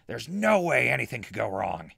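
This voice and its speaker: A man playing an excitable, jittery wasteland survivor who records a rambling, dramatic radio diary in an audio drama, with a gravelly voice. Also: medium pitch, very noisy. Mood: upbeat. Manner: rambling, dramatic, with hesitant pauses.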